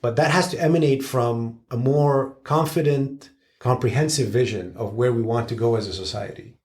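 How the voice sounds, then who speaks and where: A man from Syria giving long, measured, analytical answers as a guest expert, with frank asides. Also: mid-range voice, darkish tone.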